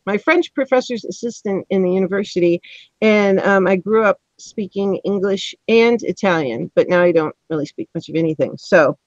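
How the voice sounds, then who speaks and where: A woman's voice: medium pitch, no echo, dull audio. A woman chatting casually and expressively as host of a live online discussion, rambling a little as she answers comments. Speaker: host of a panel discussion show. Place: indoors, online live stream.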